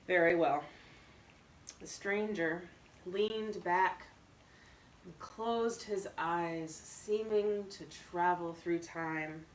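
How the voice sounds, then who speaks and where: A woman reading a short story aloud as an expressive, scripted narrator. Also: on the low side, drawn-out words, slight background noise. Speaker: story reader. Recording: roomy echo, distant microphone.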